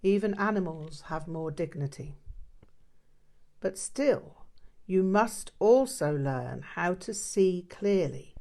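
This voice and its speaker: Woman reading as a stern, slow-spoken old master. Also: lowish voice, lively intonation, dark tone. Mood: grave. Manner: slow, emphatic, blunt short sentences. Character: stern, probing old male Tibetan Buddhist master. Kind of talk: audiobook character dialogue.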